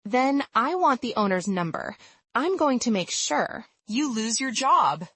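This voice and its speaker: A woman's voice: fairly high pitch, very animated, a little slow, fairly bright tone, slightly rough, no room sound, heavily acted. A woman voicing an entitled, angry female customer who is indignant and accusing, in a dramatized story.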